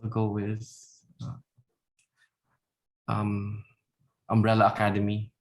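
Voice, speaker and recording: A male quiz contestant speaks casually in short answers. His voice sits fairly low and stays very flat, delivered at a slow pace. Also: rough recording.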